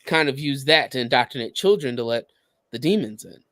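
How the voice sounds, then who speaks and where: A man co-hosting a podcast, explaining things casually in a halting, searching way. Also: medium pitch, lively intonation, bright, forceful tone. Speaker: podcast co-host.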